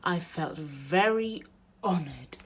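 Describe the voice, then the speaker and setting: A woman reading a children's picture book aloud in an expressive storytelling manner meant for young listeners. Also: on the low side, takes it slowly, slight background noise. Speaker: storybook reader.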